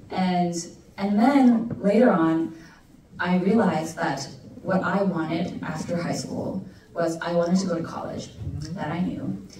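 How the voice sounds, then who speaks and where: A young woman from Alaska telling her story as a panelist, thoughtful with many ums and pauses. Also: on the low side. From United States (Alaska). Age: young adult. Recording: echoey room from far off with noise.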